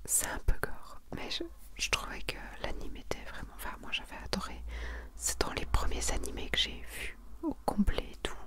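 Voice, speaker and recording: Whispering woman, soft breathy ASMR voice. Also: moderate pace. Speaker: host of an ASMR manga collection video. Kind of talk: whispered ASMR presentation, reading summaries and commenting.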